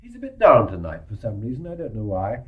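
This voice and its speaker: An actor playing a brisk, genial English family doctor in a period radio drama, with breezy delivery. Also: male voice, on the low side, very animated, phone-quality sound. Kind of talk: stage-play radio drama acting.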